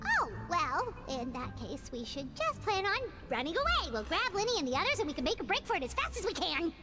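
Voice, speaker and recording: Tiny fairy sidekick, extremely high pitch, squeaky, dramatic exclamations, video game, music behind. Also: female voice, sing-song, a little fast. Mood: resolute, nervous. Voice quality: squeaky, childlike. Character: tiny excitable childlike female fairy companion.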